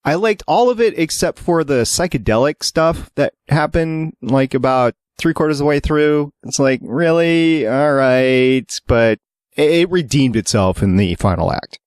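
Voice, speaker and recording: A man's voice: a little high, animated, measured pace, a little mellow, no echo, studio quality. A man hosting a podcast, chatting casually with a panel. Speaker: podcast host.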